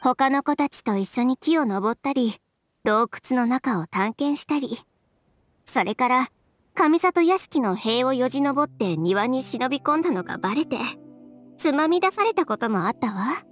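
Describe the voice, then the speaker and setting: A woman's voice: sits high, animated, normal speed. A woman playing a self-assured, independent young female designer in a Japanese game dub, speaking in a cool, matter-of-fact, casual way. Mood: reflective. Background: faint background music.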